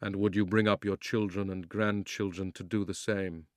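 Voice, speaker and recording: A majestic talking lion king from a storybook speaks slowly and gravely, as a man reads him aloud. His words are low and commanding, and the recording is dry and close. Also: little pitch movement, no room sound, lightly acted. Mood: solemn. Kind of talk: storybook reading.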